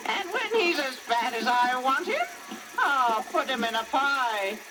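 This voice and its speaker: A scrawny old witch from a children's audio tale, greedy and menacing. Her voice is high, drawn out and wildly animated. She gloats and cackles, with music on the old record. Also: very noisy, exaggerated voice. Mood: bossy, gleeful. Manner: slow, gloating, menacing delivery. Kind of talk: children's story record acting.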